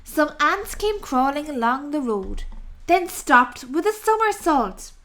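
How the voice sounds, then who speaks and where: A woman narrating a children's story aloud with expressive, deliberate delivery. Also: high-pitched.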